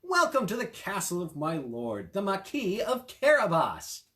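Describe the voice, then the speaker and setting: A man voicing a sly, courteous, flattering cat in a storybook tale. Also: high voice, unhurried, voice pushed up, exaggerated voice. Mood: grand welcome.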